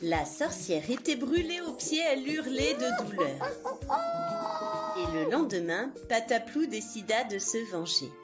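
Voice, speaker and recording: A woman narrating a scripted fairy tale for young children in an expressive, dramatic way. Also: fairly high pitch, a little slow. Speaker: children's storyteller. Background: music behind the voice.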